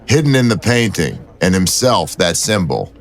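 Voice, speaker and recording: An actor playing a wry, persistent city detective who talks in dry, teasing banter. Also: male voice, sits low, very animated, normal speed, soft background music.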